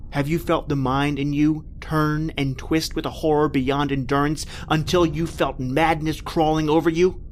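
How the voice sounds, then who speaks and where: A man's voice: fairly high pitch, flat delivery, moderate pace, few pauses, slight background noise, lightly acted. An actor playing an anguished married man trapped in prehistoric time, telling his horror in melodramatic old-time radio style. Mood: dread-filled. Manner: melodramatic, intense, repetitive narration.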